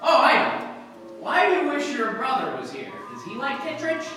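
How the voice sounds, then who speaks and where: An actor as rambling tipsy chum, echoey hall. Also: male voice, extremely high pitch, huge pitch swings, moderate pace, over music, heavily acted. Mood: chummy, tipsy. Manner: rambling, repetitive drunken drawl. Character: Macaulay Connor, chummy tipsy male party guest. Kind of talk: live old-time radio stage acting. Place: live stage performance in a hall. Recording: very echoey hall, distant microphone, background noise.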